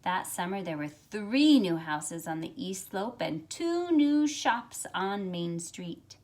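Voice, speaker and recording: A middle-aged woman, a teacher, speaking expressively and deliberately as if to young students during a picture book read-aloud. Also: mid-range voice, big pitch swings, darkish tone. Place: carpeted room at home, indoors. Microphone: phone at arm's length.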